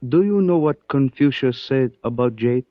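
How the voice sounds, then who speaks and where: Man acting a measured, cryptic Chinese shopkeeper, old radio. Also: mid-range voice, animated, no room sound, natural voice. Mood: serene, cryptic. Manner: formal, measured, philosophical. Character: calm, cryptic, courteous Chinese antique shopkeeper. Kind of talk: 1940s radio drama acting. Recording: dull old 1940s radio recording.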